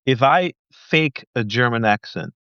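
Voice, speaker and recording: A man in his thirties who grew up in Thailand, a podcast guest in casual interview chat, talking in a chatty, joking way with quick asides. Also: medium pitch, expressive, sharp tone, clear, resonant tone, dry recording, dull audio. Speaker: podcast guest, missionary leader.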